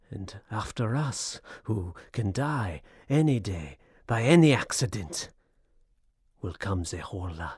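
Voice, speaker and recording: Husky, tormented madman. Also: medium pitch, darkish tone, no room sound, studio quality, exaggerated voice. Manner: theatrical, brooding monologue swelling to frenzy. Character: tormented paranoid Frenchman gentleman descending into madness. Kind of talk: radio suspense drama acting.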